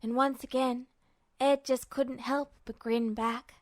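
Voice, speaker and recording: A woman reading a story aloud as an amateur fiction narrator, in an expressive scripted style. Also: sits high, slow, dry recording, clean studio sound.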